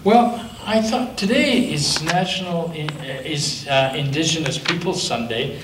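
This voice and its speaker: A male pastor reading a picture book aloud to children, gently and with slow dramatic pauses. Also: fairly high pitch. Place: church sanctuary. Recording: echoey church from a distance, faint noise.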